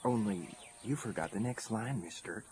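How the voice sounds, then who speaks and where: A man's voice: lowish voice, moderate pace, darkish tone, a little hiss or hum, natural voice. A male actor in an audio drama voicing a folksy, slyly menacing carrion-eating desert creature that teases in ungrammatical speech. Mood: mock soothing. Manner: folksy drawling teasing, ungrammatical.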